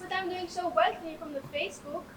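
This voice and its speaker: A young woman acting excitable schemers and hustlers in a student stage comedy, with exaggerated delivery. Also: extremely high pitch, quick pace. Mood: excited. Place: stage in a hall, picked up from afar. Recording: roomy, distant stage recording with faint noise.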